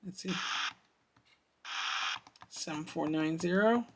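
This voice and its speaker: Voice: mid-range voice, slow pace, darkish tone, a little hiss or hum. A reviewer giving a casual product demonstration, thinking aloud in a hesitant way. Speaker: radio reviewer.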